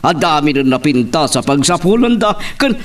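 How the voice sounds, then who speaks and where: A man's voice: a little high, big pitch swings, moderate pace, recorded from a distance. An Ilocano man acting an older widowed employer in a romance drama, lovestruck and pleading in a melodramatic way. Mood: earnest.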